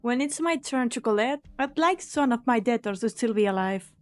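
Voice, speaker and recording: A woman's voice: on the high side, expressive, normal speed, soft background music, close to an everyday voice. A woman playing a cynical, sly young mercenary leader in an anime game fan dub, with a sardonic, taunting delivery. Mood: cool warning.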